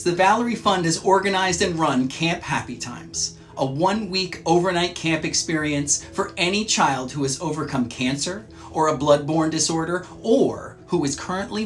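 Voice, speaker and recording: A man hosting a children's read-aloud, narrating from a script in a measured, clearly phrased way. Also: fairly high pitch, a bit bright.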